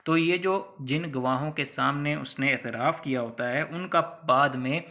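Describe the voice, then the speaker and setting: A Pakistani man teaches in a bright, forceful voice at a middle pitch. He explains in a conversational lecture style, spelling out examples and dropping English legal terms into his sentences. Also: a little slow. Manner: didactic, conversational, acts out hypothetical examples. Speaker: law lecturer.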